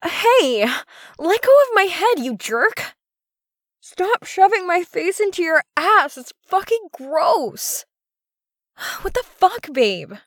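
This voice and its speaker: Slow-talking, coy girlfriend played by a woman. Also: sits low, darkish tone, no room sound, clean studio sound. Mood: flustered protest.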